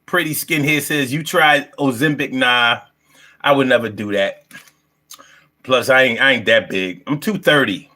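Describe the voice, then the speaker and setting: A man's voice: mid-range voice, a little slow, bright, forceful tone. A man in his fifties hosting a casual livestream, talking in brash, slangy, profane style and repeating phrases for emphasis. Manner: brash, heavily profane, slangy street talk. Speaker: livestream host.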